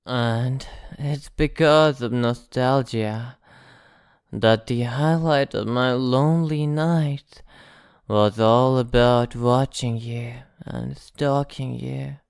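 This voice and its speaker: A man playing an ancient, possessive vampire in an ASMR roleplay, speaking in a theatrical, condescending, teasing and softly threatening way. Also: medium pitch, fairly even intonation, takes it slowly, gravelly, clean tone, dry recording, studio quality. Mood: nostalgic, mocking.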